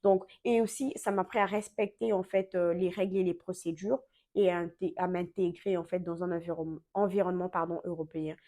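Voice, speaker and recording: Young adult woman, non-native French speaker, relaxed vlog storytelling, dark mellow timbre, hesitant self-correcting delivery. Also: lowish voice, fairly quick. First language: not French. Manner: chatty, full of fillers and self-corrections. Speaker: vlogger. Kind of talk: casual storytelling to camera.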